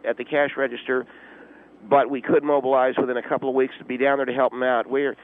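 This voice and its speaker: A man playing an officious, self-important but clueless volunteer auxiliary policeman on a phone line, deadpan radio comedy with pompous, halting tactical jargon. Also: mid-range voice. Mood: officious, confident. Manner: pompous pseudo-tactical jargon, hesitant filler-laden delivery. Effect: telephone line. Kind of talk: deadpan comic radio call-in. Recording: tinny, narrow phone line.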